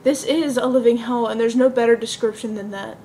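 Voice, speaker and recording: A woman acts out a bleak, depressed young woman in a dramatic stage monologue. The voice is on the high side, earnest and confessional, over a slightly noisy amateur recording. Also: fairly even intonation, brisk, dark tone, close to an everyday voice. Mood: desperate.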